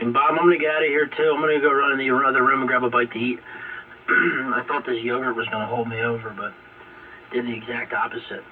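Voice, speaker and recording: A man chatting casually and informally in slang as an amateur radio operator over an AM shortwave link. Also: medium pitch. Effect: AM shortwave radio transmission. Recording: phone-like, distant, noisy AM radio signal.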